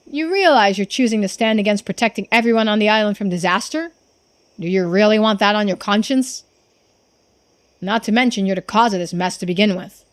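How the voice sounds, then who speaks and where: A woman's voice: medium pitch, close to an everyday voice. A woman voicing a stern, domineering male businessman from a visual novel, with a scornful, commanding manner.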